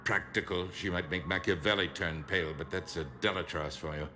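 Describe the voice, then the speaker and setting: An actor playing a stern, shrewd older politician in a video game, speaking in a measured, formal, rhetorical way. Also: male voice, low voice, bright, forceful tone, a little hiss or hum. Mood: grim, businesslike.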